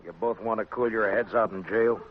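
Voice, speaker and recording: A man's voice: on the low side, fairly even intonation, a little fast, slight background noise, close to an everyday voice. An American man acting a stern, laconic frontier marshal in a 1950s radio western, with dry, clipped authority. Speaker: lead actor and narrator. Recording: dull vintage radio recording with music.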